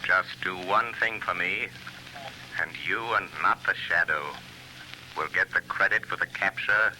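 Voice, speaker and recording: An actor playing a mysterious male vigilante who speaks calmly and commandingly, in 1930s radio drama style, heard through an old broadcast with a faint hiss. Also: low voice, unhurried, exaggerated voice, poor audio. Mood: taunting, urgent. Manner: calm, commanding, mocking laugh.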